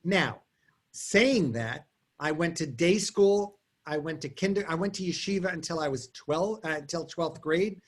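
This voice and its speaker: A man whose first language is German, telling stories and answering questions on a video call, quick and digressive with frequent restarts. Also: fairly high pitch. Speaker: guest artist and lecturer. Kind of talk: answering questions in an online talk.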